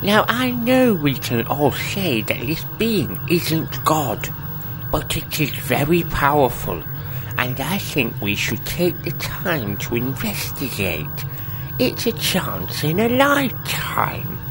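A woman acts a curious, rational ship's doctor in a space comedy radio play. Her voice is low and lively in pitch, measured in delivery, with music behind. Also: exaggerated voice, poor audio. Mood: earnest. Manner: measured, reasoning.

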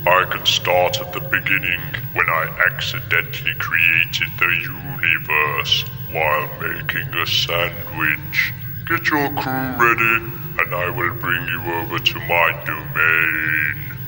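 A man acts out a self-important cosmic creator with a very low voice that echoes in a long reverb. He talks grandly and portentously in a comedy sci-fi radio play, with music behind him. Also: steady tone, takes it slowly, sharp tone, heavily acted. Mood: pleased. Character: pompous godlike alien Creator, boring, petty, self-important.

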